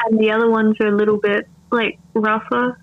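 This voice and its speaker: A woman's voice: a little high, flat delivery, brisk, phone-quality sound. An Australian woman chatting casually, hesitant, with frequent laughs. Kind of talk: casual chat.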